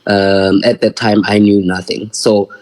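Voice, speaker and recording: A man's voice: on the low side, flat delivery, unhurried, a little mellow. A South African man in his thirties, a guest in a casual interview, friendly and laughing, often repeating words. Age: 30s. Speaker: poet, guest.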